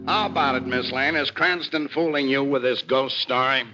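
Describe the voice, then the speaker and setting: A skeptical, impatient police commissioner voiced by a man barks in a sharp, forceful voice. He is blunt and slangy in 1940s radio style. The sound is lo-fi. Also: medium pitch, moderate pace, soft background music, natural voice. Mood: skeptical, sarcastic. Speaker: actor in a mystery drama. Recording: dull, lo-fi 1940s radio recording.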